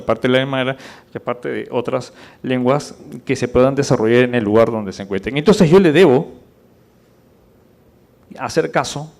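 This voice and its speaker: A man lecturing in public, didactic and rhetorical, with deliberate pauses, emphasis and questions to check that listeners follow. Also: mid-range voice, darkish tone. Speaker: conference speaker.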